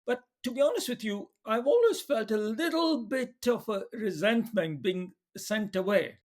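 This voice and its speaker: Man, podcast host, high-pitched, gentle, hesitant, interviewing. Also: sing-song, moderate pace. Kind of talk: interview questions.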